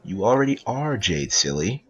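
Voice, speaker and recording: A man's voice: on the low side, sing-song, moderate pace, fairly bright tone, breathy edge, heavily acted. A man playing a playful, doting narrator who tells a cartoon storybook to a small child, in slightly dull sound. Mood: gentle, playful. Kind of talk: second-person storybook narration.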